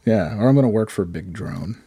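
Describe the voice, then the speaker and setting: Hoarse low-voiced man telling stories. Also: lively intonation, fast talker, mellow, no room sound. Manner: rambling, self-deprecating anecdotes. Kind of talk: casual comedic storytelling.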